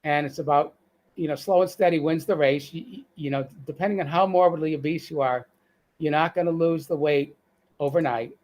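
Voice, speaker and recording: A man's voice: fairly high pitch, a little fast, a little mellow. A middle-aged man with a Boston accent, talking as a guest on a live video call, with many fillers and restarts. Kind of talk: casual live-stream interview.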